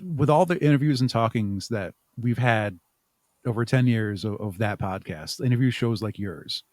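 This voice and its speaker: A man's voice: on the low side, expressive, normal speed, a little mellow, dry recording, studio quality. A man talking informally as an interview guest, hesitating and thinking aloud as he answers. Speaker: podcast guest, audio drama creator and podcaster. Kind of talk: casual interview chat.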